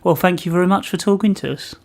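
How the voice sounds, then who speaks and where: A man's voice: high voice, fast talker, creaky. Polite male radio interviewer.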